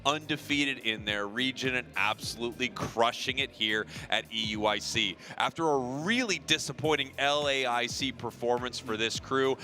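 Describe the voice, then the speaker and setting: Esports caster, man, bright mid voice, energetic, faint music behind. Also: mid-range voice. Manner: energetic, building hype. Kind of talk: play-by-play commentary.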